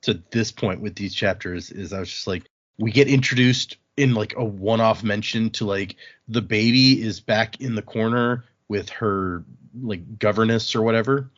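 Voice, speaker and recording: A man with a fairly low voice talks informally as a show host discussing a book. He is chatty and tends to digress. The audio is slightly dull and lo-fi. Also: normal speed, a bit bright. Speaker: podcast host.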